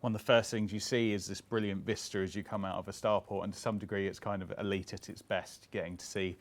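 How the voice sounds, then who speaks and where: A man answering interview questions as a game designer, relaxed and explanatory with frequent "kind of" fillers. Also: sits low, fast talker.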